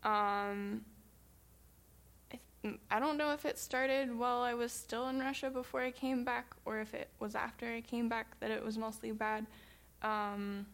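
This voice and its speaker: A woman's voice: a little high, fairly even intonation, unhurried, a bit bright. A young woman from the United States casually telling stories from a church trip, with frequent ums and small laughs as she talks. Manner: chatty, many ums, laughs while talking. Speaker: missionary reporting back. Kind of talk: casual storytelling presentation.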